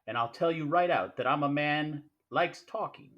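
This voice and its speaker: An actor playing a genial, cunning, courtly fat crime boss in a 1940s-style radio play, with a pompous, wordy manner. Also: male voice, fairly high pitch, moderate pace, highs cut off, close to an everyday voice. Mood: jovial, courtly. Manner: pompous, theatrical, elaborately formal.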